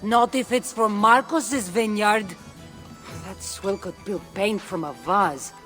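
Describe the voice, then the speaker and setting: A woman's voice: medium pitch, takes it slowly, fairly bright tone, soft background music. An actress voicing a wry, easygoing Greek warrior woman in a video game cutscene. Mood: teasing.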